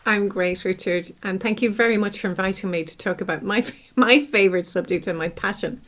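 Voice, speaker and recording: A woman's voice: mid-range voice, a little fast, a bit bright, faint music behind. A middle-aged woman with an Irish accent, a psychologist talking as an interview guest and explaining things through everyday examples. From Ireland. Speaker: clinical psychologist, podcast guest.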